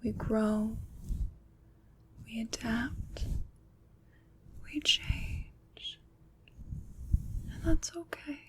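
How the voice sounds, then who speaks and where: A woman whispering gently and reassuringly with deliberate pauses, in an ASMR roleplay as a kind, soothing witch. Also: a little high, husky. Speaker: ASMR performer.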